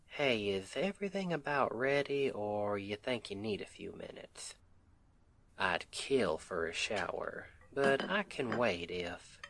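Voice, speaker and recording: A man's voice: fairly low pitch, fairly bright tone, a little hiss or hum, full character voice. A man playing a sweet, devoted Southern husband in an intimate ASMR roleplay, tender and affectionate, with a Southern US accent. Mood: easygoing.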